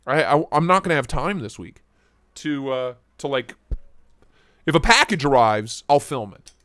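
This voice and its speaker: A man hosting a live stream, chatting casually and greeting viewers by name in a fairly high voice that swings all over. He jokes through it with a voice that is starting to go, recorded close and dry. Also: fairly bright tone, dry recording. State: losing his voice.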